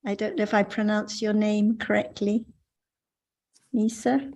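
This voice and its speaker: This woman has a mellow, dark-toned voice as she moderates an online discussion. She speaks in a measured, thoughtful way, welcoming newcomers and explaining ideas. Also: medium pitch, steady tone.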